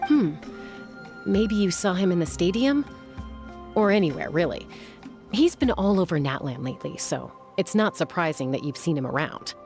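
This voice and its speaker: A woman playing a cool, protective warrior mentor in a video game, speaking in a composed, measured way. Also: on the low side, animated, a little mellow, faint music behind. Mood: covering up.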